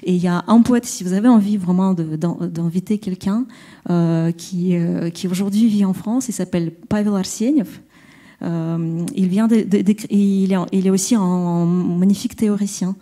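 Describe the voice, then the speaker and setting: A woman poet and translator speaking at a round-table discussion, halting and searching for her words. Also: on the low side, fairly even intonation, mellow.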